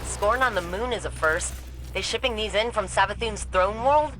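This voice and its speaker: A woman playing a brisk, tech-savvy mission operator in a video game, casual, wry and snappy. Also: mid-range voice, fairly bright tone, lightly acted, rough recording. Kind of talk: scripted video game dialogue.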